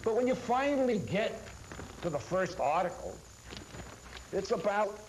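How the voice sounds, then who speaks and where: A man delivers critical commentary. His voice is fairly high and his pace very slow, each phrase weighed and stressed. Faint noise from an old videotape sits behind him. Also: very animated. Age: 60s. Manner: deliberate, emphatic, phrase-by-phrase delivery. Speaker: media critic presenter. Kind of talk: scripted-sounding critical commentary to camera. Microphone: lapel mic. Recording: noisy old 1980s videotape.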